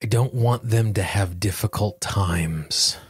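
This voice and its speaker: A man hosting a self-help podcast, coaching listeners directly in measured, deliberate phrases. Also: lowish voice, breathy edge, no room sound, clean studio sound. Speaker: podcast host and tapping coach.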